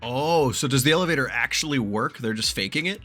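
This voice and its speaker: A man giving casual, animated gameplay commentary as a streamer, swearing freely as he reacts. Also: medium pitch, brisk, soft background music. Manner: animated, profane, reads game dialogue aloud.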